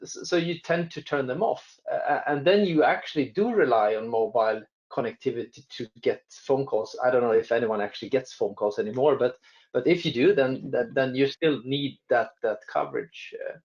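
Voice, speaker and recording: Swedish man, telecom guest, halting and restart-heavy delivery, dull call audio. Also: medium pitch, darkish tone. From Sweden. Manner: hesitant, many restarts and fillers. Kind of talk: conversational interview answers.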